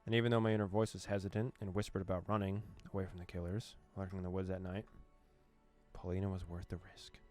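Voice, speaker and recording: A man reading a visual novel's text aloud as a streamer, with quick joking asides. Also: sits low, level tone, darkish tone. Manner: reads dialogue aloud, breaks off with joking asides and laughs. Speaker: gaming streamer. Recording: faint game music behind.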